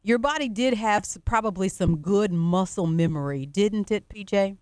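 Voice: mid-range voice, normal speed, clean tone, dry recording, studio quality. A radio interview host who asks questions and affirms the guest often. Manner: affirming, frequent backchannel.

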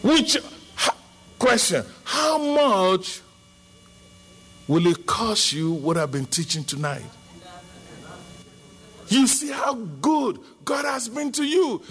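A middle-aged Nigerian man, Yoruba his first language, preaching a sermon as a pastor with emphatic bursts into shouting. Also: very high-pitched, big pitch swings, takes it slowly, long pauses, slightly rough.

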